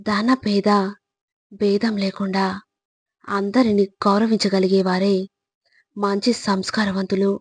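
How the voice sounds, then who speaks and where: Female motivational narrator: husky tone, mid pitch, measured emphatic phrasing, tight dry sound. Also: level tone, no room sound.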